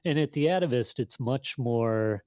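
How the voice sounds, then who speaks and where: A man speaking as an interviewed editor, casual and halting, thinking aloud with lots of conversational fillers. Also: medium pitch, animated, warm tone, no echo, studio quality. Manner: thinking aloud, halting, peppered with "you know" and "like". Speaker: magazine editor being interviewed.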